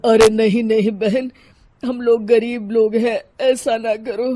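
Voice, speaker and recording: A humble street vegetable man, voiced by a woman, husky and deferential. He pleads his poverty meekly, in a cartoon-story style. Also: on the high side, steady tone, slow pace, mellow. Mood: humble, pleading. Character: humble, poor male vegetable seller, pleading.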